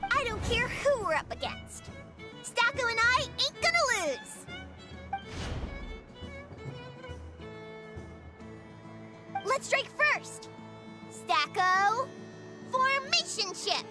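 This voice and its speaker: Cocky young game girl, very high voice, lively swoops, theatrical bravado, music behind. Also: slow, heavily acted. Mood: fired up. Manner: theatrical, mock-announcer bravado. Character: excitable, cocky young girl dressed as moon goddess. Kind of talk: animated video game voice acting.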